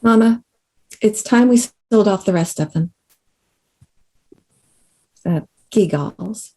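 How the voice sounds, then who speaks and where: A woman's voice: mid-range voice, slow pace, dark tone, slightly rough, low-quality recording. A woman playing a scrappy, defiant rustic tomboy daughter at a screenplay table read, with blunt, folksy rural speech.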